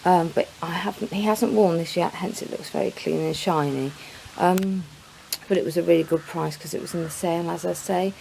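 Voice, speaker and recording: A woman with a cold, hoarse and croaky, chatting through a casual review with many hesitations, outdoors at a horse yard. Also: lowish voice, fairly even intonation, normal speed, dark tone, a little hiss or hum, rough recording. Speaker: horse owner vlogger.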